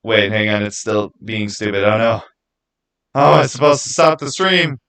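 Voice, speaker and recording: Rambling, halting young-channel streamer guy. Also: fairly low pitch, a bit bright. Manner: rambling, halting, repeats phrases. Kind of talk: casual livestream chat to viewers.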